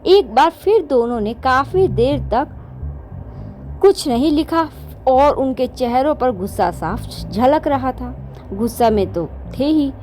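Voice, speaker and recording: A woman's voice: sits high, animated, takes it slowly, a little mellow, a little hiss or hum. A woman hosting and narrating a moral story in a conversational way, correcting herself as she goes. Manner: conversational, with restarts and self-corrections. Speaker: storyteller host.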